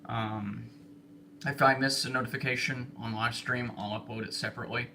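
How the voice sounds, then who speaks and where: An American man giving casual livestream commentary as he logs weather warnings, halting and thinking aloud while he works. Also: medium pitch, steady tone, sharp tone. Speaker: livestreamer spotting severe weather. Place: indoor room with computer and radio equipment. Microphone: phone set in a fixed spot. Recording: noisy amateur livestream with room hiss.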